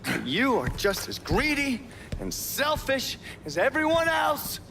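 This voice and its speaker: A man's voice: very high voice, huge pitch swings, a little slow, creaky, pushed and bright, slight background noise, heavily acted. A man playing a sardonic American government agent in a video game cutscene, speaking with profane, needling sarcasm and an American accent. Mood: accusing.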